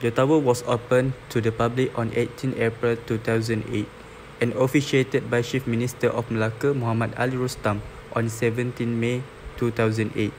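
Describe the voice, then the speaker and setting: Flat-toned young tour guide played by a man. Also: mid-range voice, fairly quick, natural voice, low-quality recording. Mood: informative. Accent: Malaysian. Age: young adult. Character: welcoming male local friend acting as tour guide. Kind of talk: amateur student audio drama, read from script. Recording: amateur recording, background noise.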